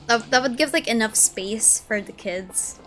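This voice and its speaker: A woman in her early twenties chatting casually on a gaming stream, excitable and gushing, rambling as she goes. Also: fairly high pitch, a little slow. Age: early 20s. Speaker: streamer and video essay creator. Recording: music behind the voice. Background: game music.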